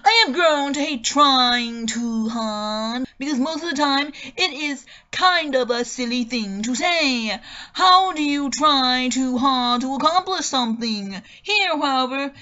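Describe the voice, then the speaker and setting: A woman performs a dramatic reading of an online review. Her voice sits fairly high and her delivery is expressive and theatrical. The recording is a little dull and lo-fi. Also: slow pace. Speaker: dramatic reader.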